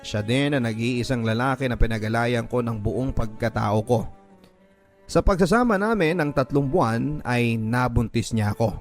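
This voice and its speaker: Man reading a heartfelt letter, soft music. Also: medium pitch. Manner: emotive, slowing on sad moments. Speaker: radio drama narrator reading a listener's letter. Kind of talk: dramatic first-person letter reading.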